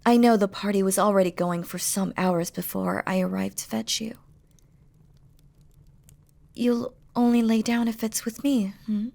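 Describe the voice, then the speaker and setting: A woman playing a devoted, regal demon queen in a romantic audio roleplay, speaking softly and formally to her lover, often dropping to a whisper. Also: mid-range voice, fairly even intonation, a little slow, gravelly, long pauses. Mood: soft, affectionate. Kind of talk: scripted romantic audio roleplay, spoken intimately to the listener.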